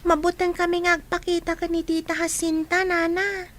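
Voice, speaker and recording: A woman's voice: very high voice, little pitch movement, normal speed, fairly bright tone. A woman playing an anxious, frank young grandchild who pleads plaintively in a radio drama. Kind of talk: Filipino radio drama acting.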